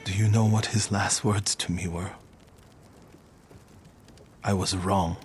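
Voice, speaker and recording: An actor playing a grieving, vengeful warrior monk in a video game, speaking in a solemn, brooding and terse way. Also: male voice, low-pitched, fairly even intonation, takes it slowly, faint music behind. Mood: grim, grieving. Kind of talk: dramatic video game cutscene acting.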